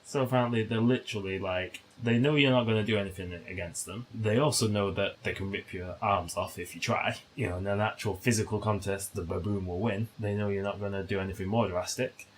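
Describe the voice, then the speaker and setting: Low raspy british guy on a comedy podcast, rambly casual chat, swears a bit. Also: fairly even intonation, fairly quick, hardly any pauses, poor audio. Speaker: podcast co-host.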